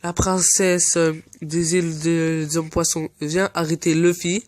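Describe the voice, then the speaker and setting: A man hosting a fan channel, stuffy from a cold, giving casual, earnest commentary that often pauses to search for words. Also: on the high side, flat delivery, no echo. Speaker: anime fan channel host.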